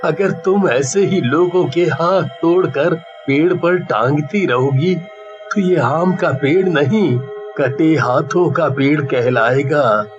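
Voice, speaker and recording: A man's voice: on the high side, slow. An actor playing a concerned, earnest village man in a cartoon horror story. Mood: earnest pleading. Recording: dull lo-fi track with faint music.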